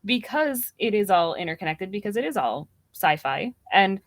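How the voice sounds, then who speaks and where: Female podcast panelist, medium pitch, informal conversational delivery. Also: big pitch swings, moderate pace, no echo. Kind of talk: casual, animated discussion.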